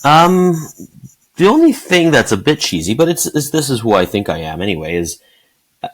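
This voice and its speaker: A man in his forties who is the guest in an interview, answering in a thoughtful, halting way. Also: medium pitch, sing-song, rough recording. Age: 40s. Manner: thoughtful, halting, many fillers and restarts. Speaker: guest, sales leader.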